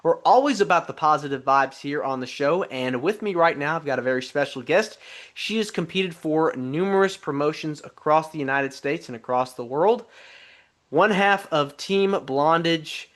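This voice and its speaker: A man's voice: on the high side, moderate pace, fairly bright tone. A man hosting a podcast interview, upbeat and welcoming. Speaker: podcast host.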